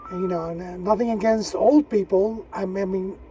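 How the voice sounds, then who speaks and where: Man, early sixties, mellow radio hobbyist chat, recorded at a distance with faint noise behind. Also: high voice, fairly even intonation, a little fast. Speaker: amateur radio operator. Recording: distant mic, vehicle noise.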